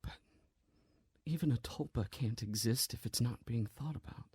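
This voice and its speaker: Husky, grieving man, played by an actor. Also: medium pitch, no echo. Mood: grieving, gentle. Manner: halting, hushed, earnest. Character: grieving, guilt-ridden man gently explaining the impossible. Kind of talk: audio drama acting.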